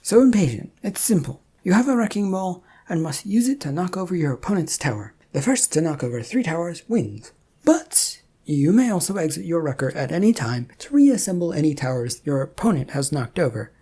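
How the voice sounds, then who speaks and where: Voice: medium pitch, very animated, brisk, warm tone, husky, voice pushed up. A narrator voicing an impish, teasing god who breezily sets out the rules of games in an audio story. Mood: impatient, breezy. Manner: breezy, taunting game-master explaining rules.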